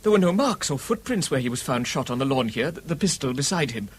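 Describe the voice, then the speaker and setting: Haunted, embittered British recluse, husky and fairly high, animated swoops, formal grave intensity, radio mystery. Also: male voice, fast talker. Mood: grave insistence. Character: haunted, eccentric, embittered gentleman recluse, male. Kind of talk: British radio drama acting.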